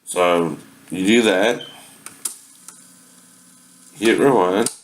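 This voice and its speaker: A man's voice: sits low, extremely slow, slight background noise, poor audio. A man giving a casual, explanatory demonstration, often checking in with "right?" at the ends of phrases. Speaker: amateur video demonstrator showing a tape deck. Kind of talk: casual instructional talk with sung impersonations.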